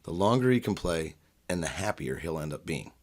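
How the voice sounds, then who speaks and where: A man in his late thirties co-hosting a radio show, agreeable and quick with short replies and jokes. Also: very deep voice, sing-song, a little mellow. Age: 30s. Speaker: radio co-host. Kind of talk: casual radio talk.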